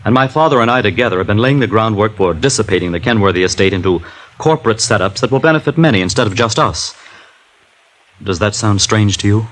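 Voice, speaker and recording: Radio drama rich son: young, proud, earnest, formal diction, lowish voice. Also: male voice, lively intonation, fast talker, fairly bright tone, poor audio. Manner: formal, stiffly articulate. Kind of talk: old-time radio drama acting.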